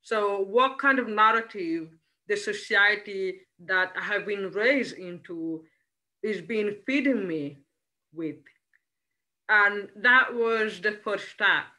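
Slow, bright-voiced Italian woman reflecting aloud. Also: mid-range voice, poor audio. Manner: slow and reflective, posing questions to herself. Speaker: panel speaker. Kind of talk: reflective talk on a video call. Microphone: laptop mic on a video call.

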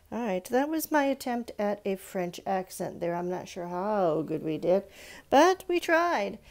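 A woman with a creaky voice giving a read-aloud art lesson for children, chatting in asides and putting questions straight to her young viewers. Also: medium pitch, dark tone. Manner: instructive, addresses young viewers directly with questions. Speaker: art reader and presenter for children. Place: indoors at a stone countertop with a book.

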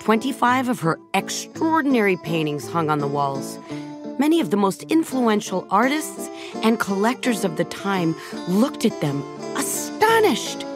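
A middle-aged woman narrating a children's storybook in a gentle, measured way, softening her voice at tender moments. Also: mid-range voice, big pitch swings. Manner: gentle, measured storytelling with soft dips in volume. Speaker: audiobook narrator. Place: recording studio. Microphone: close studio microphone. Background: background music.

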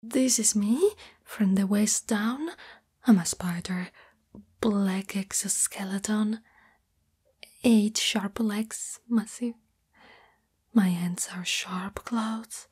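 A shy spider monster girl, played by a woman, speaks in an ASMR roleplay. Her voice is an extremely breathy whisper, soft and mellow in tone. She goes slowly and hesitantly, tender and lovestruck, as if confiding in a partner. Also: mid-range voice. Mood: hesitant, self-conscious. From Italy.

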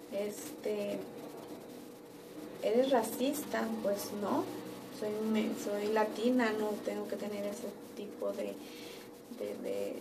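A young woman from Mexico with a Mexican accent, chatting casually and a little haltingly to camera in her living room. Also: medium pitch. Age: young adult. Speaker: vlogger. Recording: distant mic, noisy room ambience.

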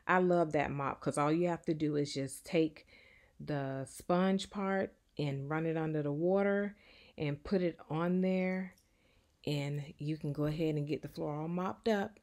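A woman's voice: low-pitched, a little mellow. A woman in her fifties narrating a cleaning vlog in a chatty, affectionate voice-over, often calling her viewers y'all. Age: 50s. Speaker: cleaning vlogger.

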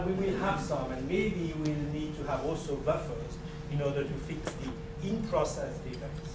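A middle-aged man, not a native English speaker, giving an animated, joking conference lecture with slides to an audience. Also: a little high. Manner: animated, gesturing, joking asides. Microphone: distant camera mic. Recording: echoey room, distant, noisy.

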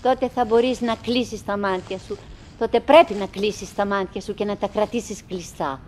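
An actress playing a wistful, chattering middle-aged wife in a theatrical radio monologue, in broken, repetitive phrases with dramatic pauses. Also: female voice, mid-range voice, steady tone, darkish tone, a little hiss or hum, close to an everyday voice. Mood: weary, musing. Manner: fragmented, repetitive, dramatic pauses with sudden swells.

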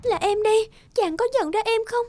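Give me a very high-voiced gentle young noblewoman. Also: normal speed, faint music behind. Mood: pleading. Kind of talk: cartoon fairy tale dubbing.

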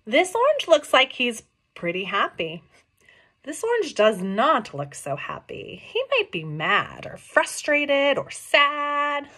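A middle-aged woman reading a picture book aloud to young children, expressive and questioning, explaining things simply as she goes. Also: sits high. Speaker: read-along storyteller for young children. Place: small room at home.

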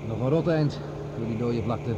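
A man's voice: mid-range voice, normal speed, natural voice. Authoritative scientist in a noisy old radio play played by a man. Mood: visionary, calm. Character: commanding older male scientist on Mars, optimistic, urgent. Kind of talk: Dutch radio play acting. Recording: dull, noisy old radio drama recording.

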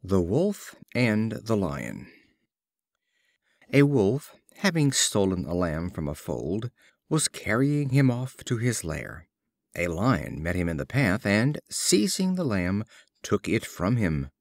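A man reading fables as scripted storybook narration, in a measured, expressive storyteller's delivery. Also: fairly low pitch, creaky, no echo, clean studio sound. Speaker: audiobook reader.

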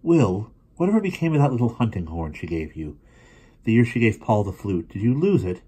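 A man's voice: fairly low pitch, very animated, fairly quick, dark tone, breathy edge, voice pushed up. A man voicing a chatty younger boy who turns doleful.

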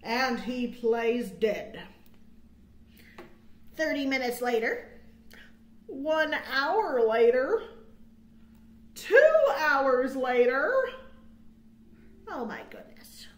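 A middle-aged woman hosts a children's storytime, reading a picture book in a high voice that swings widely in pitch. She takes her time, leaning into dramatic emphasis and adding small asides for young listeners. The recording has a roomy indoor echo. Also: expressive, extremely slow, long pauses. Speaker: children's librarian, storytime host. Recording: roomy, echoing indoor sound.

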